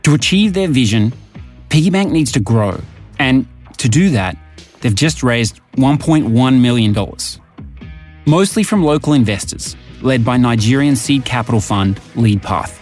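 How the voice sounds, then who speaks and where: Guy narrating a podcast, really animated pitch, soft guitar music underneath. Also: a little slow. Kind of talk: scripted narration.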